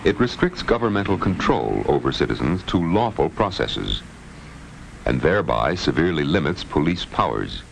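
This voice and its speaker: A man's voice: very deep voice, gravelly. A man reading scripted narration for a training film in a formal, authoritative manner. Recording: noisy 1960s training film soundtrack.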